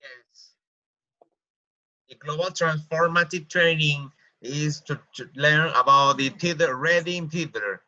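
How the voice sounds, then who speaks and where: A man taking part in a webinar over a video call, speaking haltingly and repeating phrases. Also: on the high side, pushed and bright. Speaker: webinar participant. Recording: muffled video call audio.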